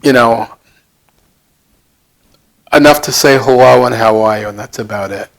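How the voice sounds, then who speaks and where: A man in his seventies with a heavy Brooklyn accent, speaking in a halting, plain-spoken, self-deprecating way as he answers reflectively in an interview. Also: medium pitch, vocal fry. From Brooklyn, United States. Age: 70s. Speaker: interview guest.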